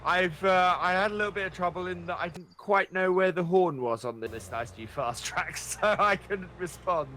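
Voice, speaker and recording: A man's voice: high-pitched, moderate pace, few pauses, sharp tone, a little hiss or hum. A man chatting casually as a co-player in a gaming role-play, as if on a call.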